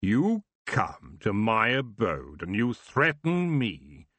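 Lecherous horned elf king, acted by a man: languid, drawling, condescending, very slow, for a fantasy audiobook. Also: low voice, animated, fairly bright tone, dry recording, heavily acted. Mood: bored, disdainful. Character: lazy, lecherous, seductive elf king with horns. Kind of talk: audiobook character voice.

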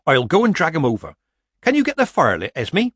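A man's voice: on the high side, wildly swooping pitch, brisk, a bit bright, slightly rough, no room sound, studio quality, pitched above the performer's own voice, full character voice. A man voicing a blunt, earthy old witch woman in an audiobook story, plain-spoken and colloquial. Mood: brisk.

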